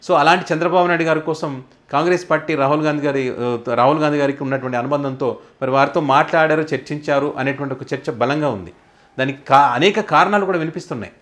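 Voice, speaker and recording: A man's voice: fairly high pitch, quick pace, fairly bright tone. A man with a Telugu accent presenting political news commentary in an explanatory way, posing rhetorical questions as he goes.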